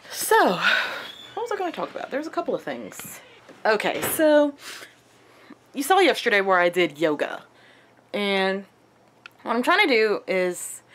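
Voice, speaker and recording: A young adult woman chatting casually to a handheld camera at arm's length in a small room at home, in a conversational, animated manner. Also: mid-range voice. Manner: animated, conversational, acts out quotes. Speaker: vlogger.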